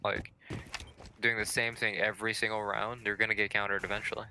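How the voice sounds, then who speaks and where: A man's voice: on the low side, level tone, brisk, sharp tone. A man giving analytical co-commentary on a live competitive video game match. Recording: clear game gunfire and noise behind.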